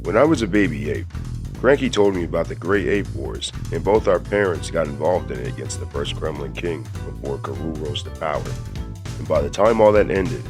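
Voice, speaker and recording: A man playing a big macho jock ape with a laid-back, boastful manner in a cartoon audio drama. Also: low voice.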